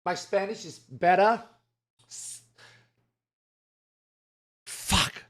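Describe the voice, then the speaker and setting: A man's voice: high-pitched, drawn-out words, fairly bright tone. A man from Singapore with a Singaporean English accent, chatting casually and jokingly with his stream viewers and swearing freely. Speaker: live streamer.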